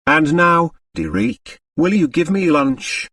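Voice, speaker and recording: Guy doing a seductive foreign lady dancer, dramatic n coaxing, swoopy pitch, bit far from mic. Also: big pitch swings, slow pace, pushed and bright, breathy edge, no room sound, clean studio sound, heavily acted. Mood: coy, then scornful. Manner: dramatic, coaxing, emphatic repetition. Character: seductive, possessive foreign woman dancer, sure of her power. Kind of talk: audiobook character acting.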